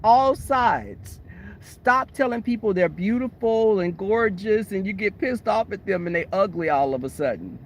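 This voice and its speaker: A woman vlogging in a car, talking in a blunt, sassy, opinionated way with a voice that is going hoarse. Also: mid-range voice, animated, unhurried. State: losing her voice. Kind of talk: casual chat. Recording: noisy car interior.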